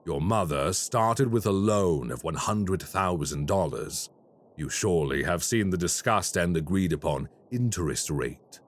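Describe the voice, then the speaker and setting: An actor playing a polite, quietly menacing male crime boss in an audio roleplay. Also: low-pitched, expressive. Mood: smooth menace. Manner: slow, courteous and quietly menacing.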